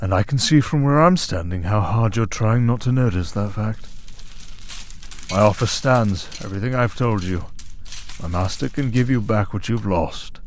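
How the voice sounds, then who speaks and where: A man playing a smug, tempting servant of a dark master in an ASMR audio drama, speaking in a deliberate, taunting, persuasive way. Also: fairly low pitch, animated, a little hiss or hum, natural voice. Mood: taunting, seductive. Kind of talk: ASMR audio roleplay acting.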